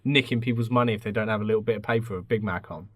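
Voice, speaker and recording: A man's voice: on the low side, racing, a bit bright, no echo. British man bantering, close dry sound. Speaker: podcast co-host. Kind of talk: casual banter while developing a comedy radio play script.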